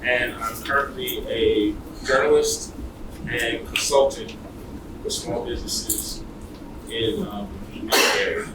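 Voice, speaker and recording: A man's voice: mid-range voice, fairly even intonation, slow. Guy cohosting an event, mid voice, far from the mic, lots of room noise. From Brooklyn, New York. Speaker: co-host of a panel event. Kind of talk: casual event introductions to a room. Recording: noisy echoey room, distant mic.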